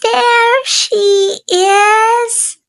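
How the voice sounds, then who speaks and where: A woman plays a little baby bird from a storybook, very high and plaintive. The small, anxious chick calls out slowly in a childlike way, searching for his mother. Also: fairly even intonation, fairly bright tone, no room sound, studio quality, heavily acted. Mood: excited, hopeful.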